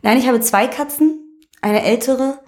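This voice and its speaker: A woman's voice: on the high side, measured pace, darkish tone. A woman from Berlin, telling personal stories as an interview guest in a colloquial, candid way. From Berlin, Germany. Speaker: interview guest, music promoter. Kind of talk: casual chat, personal storytelling.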